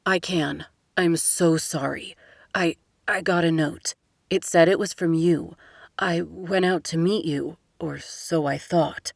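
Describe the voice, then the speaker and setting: Woman voicing an apologetic young man, hushed, soft, sheepish, dry sound. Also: fairly low pitch, fairly even intonation, takes it slowly, gravelly, no room sound, exaggerated voice.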